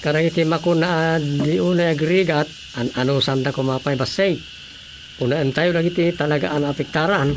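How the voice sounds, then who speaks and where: A man's voice: on the high side, fairly even intonation, moderate pace, slight background noise, natural voice. A man with an Ilocano accent acting a patient village council officer in an Ilocano radio drama comedy. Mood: patient, explaining.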